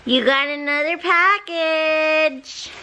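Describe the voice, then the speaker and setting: Unhurried, higher-voiced young woman vlogging. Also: noisy background. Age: young adult. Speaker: vlogger and mother. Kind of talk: casual family vlog chat. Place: at home, in a car and in a busy restaurant. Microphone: handheld camera at arm's length.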